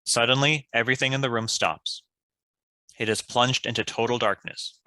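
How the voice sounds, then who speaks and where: A man's voice: mid-range voice, fairly even intonation, moderate pace, sharp tone, no room sound, rough recording. A man reading scripted stage directions as a narrator.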